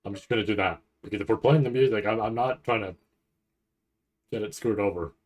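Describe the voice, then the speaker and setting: Low-voiced man rambling on a livestream. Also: brisk. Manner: rambling, thinking aloud, casually profane. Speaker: livestream host reacting to anime event. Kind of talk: casual live stream chat.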